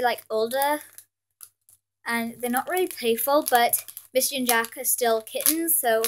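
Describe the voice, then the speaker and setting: British teen girl vlogger, high voice, stuffed up with a cold, rambly and apologetic. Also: a bit bright, a little hiss or hum. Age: teens. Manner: rambling, full of fillers and apologies. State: has a cold, sniffly. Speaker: pet channel host. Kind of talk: casual haul vlog. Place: indoors at a table with Christmas decorations. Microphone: camera filming her hands from above.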